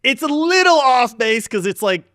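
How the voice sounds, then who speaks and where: A man's voice: extremely high pitch, big pitch swings, normal speed, pushed and bright, dry recording, studio quality. A man hosting a podcast, chatting casually in an informal, buddy-like way full of slangy filler. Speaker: podcast host. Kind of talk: casual chat.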